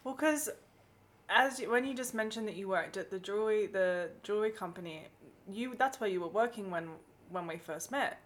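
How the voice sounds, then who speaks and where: A woman hosting a podcast, asking questions and backing her guest with steady mm-hmms and laughs. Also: mid-range voice, normal speed. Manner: encouraging, many mm-hmms and laughs. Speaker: podcast host. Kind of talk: casual chat, interviewing.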